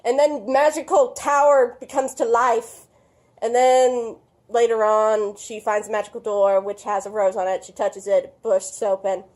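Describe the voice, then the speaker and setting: Bossy, higher-pitched puppet critic played by a woman. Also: flat delivery, a little slow, a little mellow, lightly acted. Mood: snarky. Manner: snappy, sarcastic. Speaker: puppet show reviewer. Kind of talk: comic movie recap.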